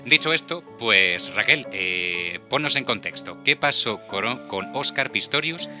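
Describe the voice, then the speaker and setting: A man with a Spain accent hosts a radio chat casually. His voice is low and strikingly bright and forceful, with soft music underneath. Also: expressive, measured pace. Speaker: radio show host. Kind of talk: casual panel chat and hosting.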